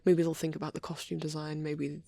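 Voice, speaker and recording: A woman voicing a shy vampire boy who rambles gently in an ASMR roleplay, soft-spoken and hesitant, slipping into breathy whispers. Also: low voice, little pitch movement, brisk, no echo, studio quality. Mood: gently enthusiastic.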